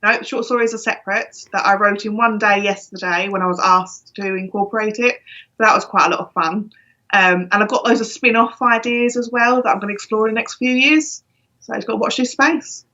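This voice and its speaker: A woman's voice: mid-range voice, fairly quick, pushed and bright, highs cut off. A woman from London with a London accent, a guest author chatting casually over a video call, laughing often. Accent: London English. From London, England.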